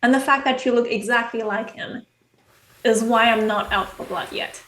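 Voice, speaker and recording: A woman playing a stern female vampire in a tabletop game, questioning another character in a measured, pointed way. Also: fairly high pitch, fairly even intonation, a bit bright, a little hiss or hum, close to an everyday voice. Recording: echoey room.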